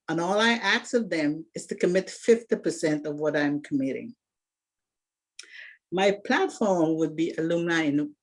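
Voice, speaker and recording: A woman in her sixties, originally from Guyana, presenting herself as a candidate for an alumni association presidency in an earnest, organized prepared speech with occasional restarts. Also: lowish voice. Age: 60s.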